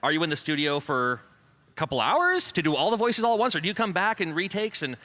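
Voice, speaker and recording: A man moderating a convention panel who asks quick, eager questions. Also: fairly high pitch, very animated, pushed and bright.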